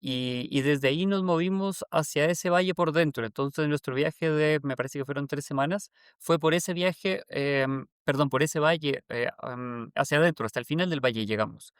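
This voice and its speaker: A young man from Colombia and Chile, telling relaxed stories as a guest in an interview, with many fillers and self-corrections. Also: on the high side, moderate pace, smooth, no echo, clean studio sound. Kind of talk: casual interview conversation.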